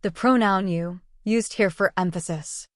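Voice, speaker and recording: A woman's voice: mid-range voice, measured pace, dry recording, clean studio sound. Woman explaining a language lesson. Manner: even, explanatory delivery. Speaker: language teacher. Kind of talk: scripted lesson narration.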